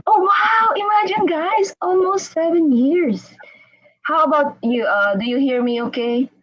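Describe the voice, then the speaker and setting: A woman chatting casually and excitedly as the host of a live online interview, over a video call. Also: high-pitched, unhurried, shouting, highs cut off. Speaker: host interviewing a guest on a livestream.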